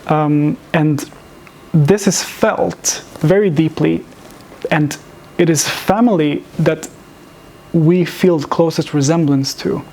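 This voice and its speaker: Man from serbia giving a talk, bit high voice, gravelly, lots of restarts. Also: darkish tone, a little hiss or hum. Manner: measured, with frequent restarts and fillers. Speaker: public speaker. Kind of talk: lecture presentation.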